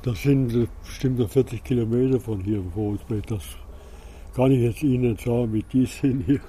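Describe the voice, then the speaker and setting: A middle-aged or older local man with a dark, mellow voice at medium pitch. He gives casual, plain answers and often breaks off to start again. Faint outdoor noise sits behind him. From rural Hesse, Germany. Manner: halting, plain-spoken, breaks off and restarts sentences. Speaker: local resident being interviewed. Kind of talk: casual spontaneous interview answers. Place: outdoors in a quiet rural village.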